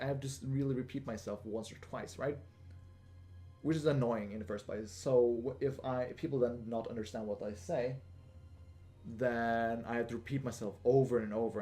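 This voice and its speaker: A young man hosting a casual Q&A video, talking in a flat, monotone way with lots of fillers and restarts. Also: mid-range voice. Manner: monotone, rambling with many fillers. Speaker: gaming channel host. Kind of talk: casual Q&A monologue.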